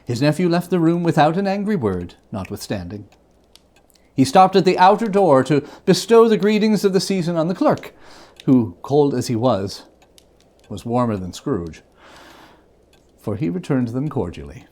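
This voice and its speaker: A story reader with a mid-pitched voice narrates dramatically. The delivery is animated, with wide swings of pitch through the scripted prose. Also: moderate pace.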